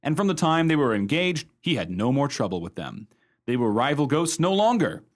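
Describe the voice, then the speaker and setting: An actor playing an uncle who tells a ghost story in a radio play, in a measured storytelling manner. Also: male voice, medium pitch, big pitch swings.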